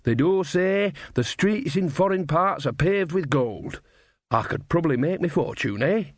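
A man voicing a bossy, cheerful old village witch mother in a pitched-up, chatty rustic dialect. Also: lively intonation, unhurried, no echo, clean studio sound.

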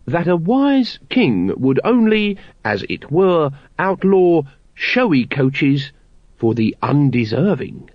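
A man's voice: fairly high pitch, very animated, slow, no echo, pitched above the performer's own voice, exaggerated voice. Man playing a silky scheming cult leader. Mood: persuasive. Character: scheming male cult leader, smooth and manipulative. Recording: dry, dull lo-fi recording.

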